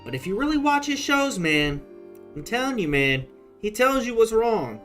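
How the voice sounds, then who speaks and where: A man's voice: high voice, big pitch swings, normal speed, a bit bright, faint music behind. A man with an American accent giving casual, slangy, profane live reaction commentary on a game. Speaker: gaming streamer.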